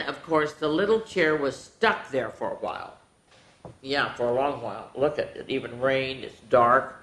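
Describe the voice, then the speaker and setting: A woman hosting a children's story time, reading a storybook aloud in an animated, playful way with dramatic asides to the young listeners. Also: on the low side. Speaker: children's storyteller, show host. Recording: roomy, echoing indoor sound.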